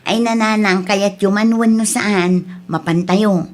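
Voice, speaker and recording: A woman's voice: mid-range voice, fairly even intonation, slow, darkish tone, lightly acted. A woman playing an easygoing, coaxing younger Ilocano woman in a radio comedy drama, with an Ilocano Filipino accent. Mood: cheerful, coaxing.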